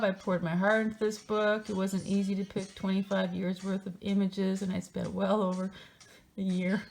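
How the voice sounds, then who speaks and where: A woman reading a book aloud in a theatrical, mocking way, breaking into casual, sardonic asides of her own. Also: mid-range voice.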